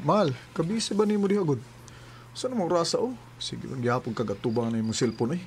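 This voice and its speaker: High-voiced young Cebuano boyfriend, played by a man. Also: a little fast, darkish tone, slight background noise, lightly acted. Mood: sulky, weary. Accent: Cebuano Filipino. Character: hurt, trusting young boyfriend, pleading and indignant. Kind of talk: radio drama acting. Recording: radio drama over music bed.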